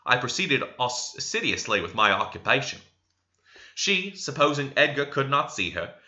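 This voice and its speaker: Very bright-voiced man narrating a novel. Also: mid-range voice. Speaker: audiobook reader. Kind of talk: expressive literary narration. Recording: slightly dull lo-fi recording.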